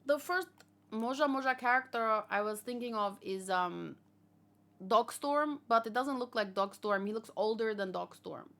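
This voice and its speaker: A woman hosting a read-along, chatting casually with viewers and thinking aloud, with hesitant pauses and musing hums. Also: a little high, a bit bright. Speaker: host of a manga read-along reaction show.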